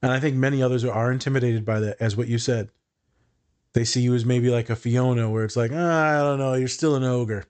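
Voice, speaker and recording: A man hosting a podcast, a therapist, talking in a relaxed, chatty, joking way. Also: medium pitch, brisk, clear, resonant tone. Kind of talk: casual podcast chat.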